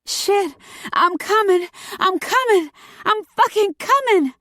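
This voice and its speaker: A woman's voice: medium pitch, sing-song, unhurried, no echo, studio quality, voice pushed up. A woman voicing a brash, overdramatic, jealous young woman assassin with a petulant, profane manner in an audiobook. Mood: loud ecstasy. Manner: overly dramatic, petulant, profane. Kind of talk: audiobook character dialogue.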